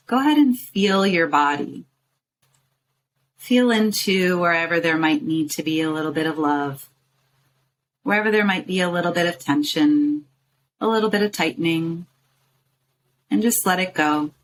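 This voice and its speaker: A woman leading a guided visualization in a soothing, gently coaxing way, with short phrases and pauses. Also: fairly low pitch, slight background noise, rough recording. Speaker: meditation guide and show host.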